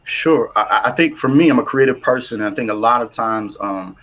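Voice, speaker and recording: A man's voice: medium pitch, brisk. A man from the United States, an author being interviewed, talking casually with many fillers and restarts. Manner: rambling, many fillers and restarts, warm laughs.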